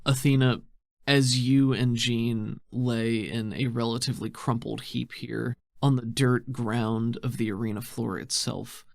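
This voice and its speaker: A man narrates a tabletop game as game master. His pitch sits in the middle, and the recording is close and dry. His delivery is descriptive and dramatic. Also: gravelly, no echo.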